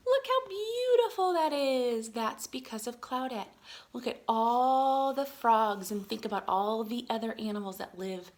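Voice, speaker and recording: A woman reading a picture book aloud to young children in an expressive way, adding chatty explanations and questions for her listeners. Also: on the high side. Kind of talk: animated read-aloud with chatty asides and questions.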